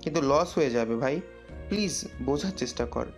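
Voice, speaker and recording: A man's voice: mid-range voice, lively intonation, a little fast, natural voice. A male actor playing an easygoing young friend in an audio drama, casual and slangy, over music. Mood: pleading. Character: easygoing young man, pleading then breezy friend.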